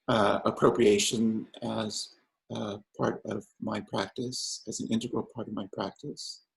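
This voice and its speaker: A man who grew up speaking Samoan, an artist answering panel questions in a halting way with many fillers and restarts. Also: on the low side, vocal fry, rough recording.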